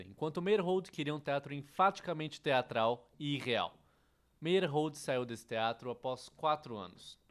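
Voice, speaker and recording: Man, Brazilian accent, medium pitch, scripted narration, educational presenter. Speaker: educational presenter dubbing a theatre history course.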